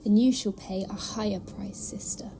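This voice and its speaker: A woman playing a gentle, reverent, fearful cat nun in an audio drama, with a breathy, hushed, earnest delivery. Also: mid-range voice, steady tone, moderate pace, whispered, natural voice. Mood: anguished. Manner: earnest, solemn.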